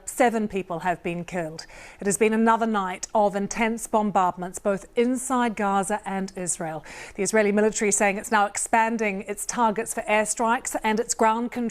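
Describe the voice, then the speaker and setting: A woman presenting live television news with a brisk, clear newsreader delivery. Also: medium pitch, fairly even intonation.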